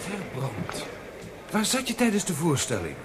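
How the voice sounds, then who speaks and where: An actor playing a frank, supportive young friend, blunt and exclamatory, in a radio drama. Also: male voice, on the high side, huge pitch swings, unhurried. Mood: enthusiastic. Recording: noisy radio play recording with ambience.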